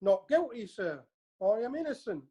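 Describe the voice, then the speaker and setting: A woman's voice: fairly high pitch, sing-song, normal speed, warm tone. A woman playing a meek, nervous defendant in a courtroom radio play, hesitant and deferential.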